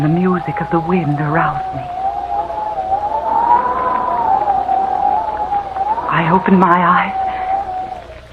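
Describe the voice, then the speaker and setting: An actress playing a nervous, haunted wife, telling of her ghostly terror in a halting, confessional way, in old-time radio horror style. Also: on the low side. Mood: haunted, dread. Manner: dramatic, halting, confessional first-person narration. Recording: muffled, noisy old radio recording.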